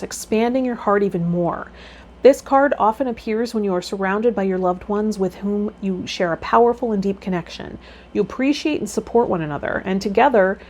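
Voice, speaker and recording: A woman's voice: mid-range voice, a little mellow. A woman hosting a tarot video, reading card meanings aloud and adding casual commentary.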